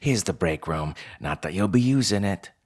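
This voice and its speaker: A man's voice: low voice, animated, normal speed, slightly rough. A man voicing a bossy female fox store manager in a story, with a barking, clipped, blunt way of talking. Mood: brisk instruction.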